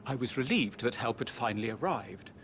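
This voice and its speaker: An actor playing an insecure, troubled grown son who speaks softly and hesitantly. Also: male voice, medium pitch, animated, brisk, natural voice. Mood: anxious. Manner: soft, hesitant. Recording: loud music behind.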